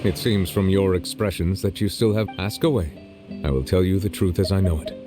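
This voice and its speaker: A man playing a grave, dignified judge in a video game, speaking in a formal, measured, solemn manner. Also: sits low, over music, natural voice. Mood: solemn, reflective. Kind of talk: video game character acting.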